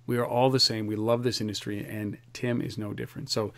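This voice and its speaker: A man hosting a podcast in casual chat, energetic, often repeating words as he starts a thought. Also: on the low side, fairly quick. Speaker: podcast host.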